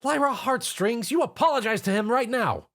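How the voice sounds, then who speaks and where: A young female unicorn for a story, performed by a man. The voice is high and urgent, with an anxious, scolding edge. It moves about in an animated way. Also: moderate pace, exaggerated voice. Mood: stern scolding.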